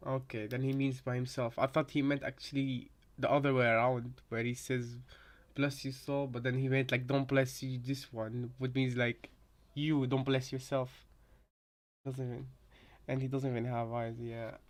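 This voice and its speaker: A man with a mid-pitched, fairly monotone voice chats casually as a co-commentator. He thinks out loud, circling back and repeating himself while he works out what things mean. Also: moderate pace. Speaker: co-commentator reacting to game voice lines.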